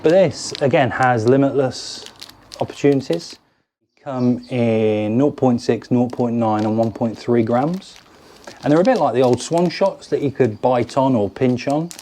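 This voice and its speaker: A man's voice: medium pitch, measured pace, warm tone, slight background noise. A British man in his forties, chatting casually as he demonstrates fishing lures to camera from a garden shed. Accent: British English. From United Kingdom. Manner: chatty, hands-on, explains while fiddling with lures. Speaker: fishing tackle presenter. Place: garden cabin or shed, indoors.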